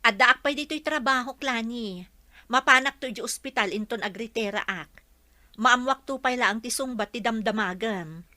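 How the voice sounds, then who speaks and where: A woman's voice: a little high, normal speed, pushed and bright, no echo. An actress playing a concerned, frank young woman in a radio drama. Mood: matter-of-fact.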